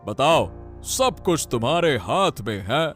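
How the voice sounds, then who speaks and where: A man voicing a commanding, pompous king with a deliberate, authoritative manner in a Hindi cartoon story. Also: a little high, very animated, fairly bright tone, soft background music, exaggerated voice. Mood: stern, threatening. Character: commanding, imperious king giving orders to subjects.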